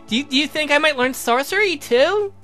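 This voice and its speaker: Guy doing a sweet willful young bride for a visual novel, real high n bright, eager and sure of herself. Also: animated, a little fast, faint music behind, full character voice. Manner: eager, sweet, self-assured. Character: willful young lady, adoring bride of a vampire count. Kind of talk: voiced visual novel dialogue.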